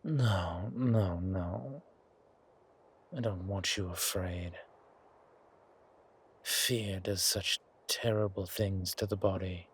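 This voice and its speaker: A man playing an ageless, predatory vampire in an intimate horror ASMR roleplay, soothing and menacing at once, in a hushed, breathy voice. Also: low voice, heavily acted. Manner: slow, deliberate, hushed, soothing yet menacing.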